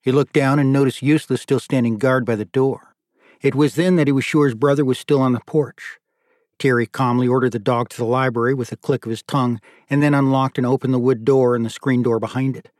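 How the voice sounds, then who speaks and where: A man narrates a literary novel in a scripted audiobook style. His voice has a middling pitch. The recording is dry, with no room sound. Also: steady tone, a little fast, a little mellow, no echo.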